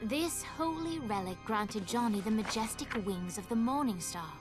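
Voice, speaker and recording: An actress playing a storybook narrator in a video game, telling the tale in a measured, theatrical way. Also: female voice, on the high side, expressive, over music, natural voice. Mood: solemn storytelling.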